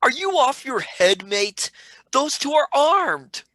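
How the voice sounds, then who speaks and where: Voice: sits high, sing-song, slow pace, bright, forceful tone, dry recording, studio quality, exaggerated voice. An actor playing a cheeky, loyal young sidekick in a radio play, blunt and colloquial in manner. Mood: exasperated.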